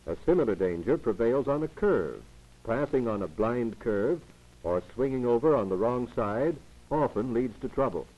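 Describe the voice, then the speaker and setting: A man reading scripted narration for a 1940s instructional film in a didactic, formal, measured manner. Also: medium pitch, expressive, a little mellow. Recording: muffled, lo-fi 1940s 16mm film soundtrack.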